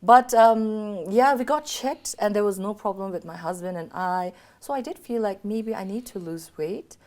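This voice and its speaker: A woman's voice: medium pitch, normal speed, a little mellow. A woman in her thirties from Bhutan with a Bhutanese accent, a guest speaking openly and chattily in an interview while her voice is partly gone from illness. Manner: open, chatty, frequent "you know". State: partly lost voice, sick. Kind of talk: candid personal interview.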